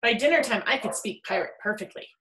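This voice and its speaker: A woman reading a children's picture book aloud in an expressive storytelling style. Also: on the high side, quick pace, a little hiss or hum. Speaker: storybook reader.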